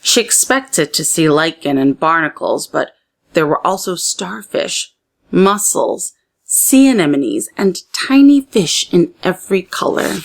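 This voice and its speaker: A woman narrating a children's story aloud as a read-aloud storyteller, with expressive, deliberate phrasing meant for young listeners. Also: fairly low pitch, vocal fry.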